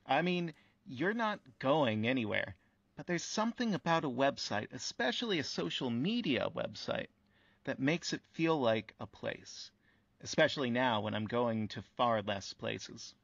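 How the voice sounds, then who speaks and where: Dull, dry recording. Casting a male actor for an affable, reflective radio-play host with a creaky, animated voice. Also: medium pitch, a little slow, no room sound, exaggerated voice. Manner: reflective, conversational, agreeable. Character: thoughtful, affable male interviewer of talking buildings. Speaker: interview host. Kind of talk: radio play interview, casual.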